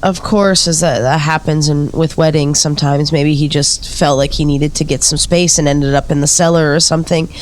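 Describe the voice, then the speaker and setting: A woman's voice: deep voice, steady tone, normal speed, few pauses, natural voice. A woman playing a polite, curious investigator in an audio drama, asking tentative questions in an attentive, natural conversational way. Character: polite, curious female investigator gathering an eerie account. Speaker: interviewer.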